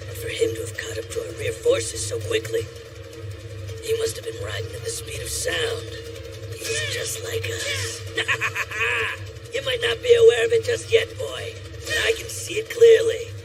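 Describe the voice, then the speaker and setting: Wildly swooping battle-hungry general. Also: male voice, a little high, wildly swooping pitch, normal speed, distant mic, over music, rough recording. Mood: admiring excitement. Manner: theatrical, swaggering. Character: fierce, exuberant battle-hungry veteran general. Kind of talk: English-dubbed animated war drama acting.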